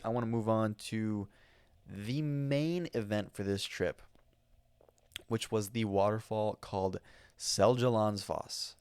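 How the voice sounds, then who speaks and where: An American man talks into a microphone on his own podcast, rambling through a travel story with plenty of fillers and restarts. His voice sits on the low side. The sound is close and dry, with no room echo. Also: a little mellow, clean tone. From United States. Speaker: podcast host. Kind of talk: casual solo podcast storytelling. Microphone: studio microphone.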